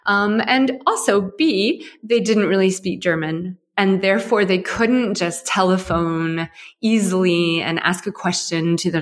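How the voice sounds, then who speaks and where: An American woman from Ohio, a guest in an interview, telling her story in a lively, conversational way with frequent fillers. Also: on the low side. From Ohio, USA. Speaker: guest, company co-founder.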